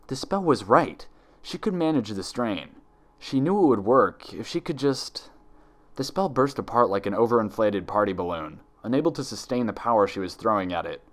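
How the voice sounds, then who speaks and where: A man reading a story aloud as narrator in a dramatic scripted style. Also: fairly low pitch. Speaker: fan fiction narrator.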